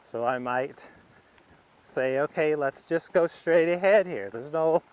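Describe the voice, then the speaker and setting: A man with a smooth, slightly high voice talks through a riding demonstration as an instructor. He takes his time, laying out each step in short phrases as if thinking aloud, at a markedly slow pace. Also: darkish tone, low-quality recording.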